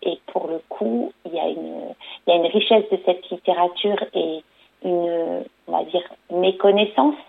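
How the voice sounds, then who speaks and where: A woman's voice: fairly low pitch, fairly even intonation, normal speed, creaky, breathy edge. A woman storyteller answering interview questions, hesitant, with many fillers and restarts. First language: French. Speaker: storyteller and performer. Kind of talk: radio interview. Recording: phone-line audio.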